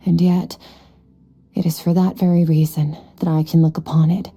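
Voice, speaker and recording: A woman voicing an aloof, solemn, ageless swordswoman in a video game, speaking in a measured, philosophical way. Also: low voice, little pitch movement, breathy edge. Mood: solemn, reflective. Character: aloof, solemn, centuries-old swordswoman who looks youthful. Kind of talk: video game cutscene voice acting. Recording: soft background music behind the voice.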